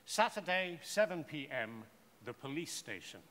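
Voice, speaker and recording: A man narrating a scripted short story in a measured, theatrical way. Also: on the high side, big pitch swings, a bit bright. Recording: echoey hall with faint noise.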